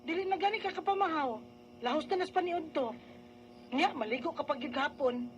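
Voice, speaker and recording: A woman's voice: high voice, animated, normal speed, lo-fi sound, a little hiss or hum. A woman playing a concerned, scolding elder sister with a Filipino Bisaya accent in a radio drama. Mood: exasperated. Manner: nagging, admonishing.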